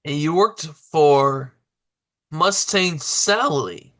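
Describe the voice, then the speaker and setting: A male podcast host with a rather high, bright voice asks his questions slowly. He hesitates and stumbles over his words now and then. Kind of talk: interview questions.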